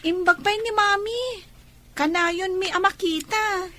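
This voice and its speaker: A very high, unhurried child's voice acted by a woman with an Ilocano Filipino accent. The child speaks to family in an audio drama. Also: pushed and bright, exaggerated voice. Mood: pleading. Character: young child missing Daddy, speaking to grandmother.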